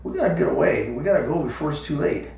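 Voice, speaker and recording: Man putting on a panicky young rabbit, high and loud, gravelly edge, echoey room, distant mic. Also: animated, quick pace. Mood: frightened, urgent. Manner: frantic, pleading, stammering. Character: small nervous young male rabbit seer.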